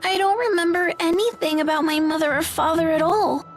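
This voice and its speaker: A woman voicing a shy, gentle little orphan girl in an anime game, with a hesitant manner. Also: extremely high pitch, a little fast. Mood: sorrowful. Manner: soft-hearted, hesitant. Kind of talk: anime game dub acting. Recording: music behind.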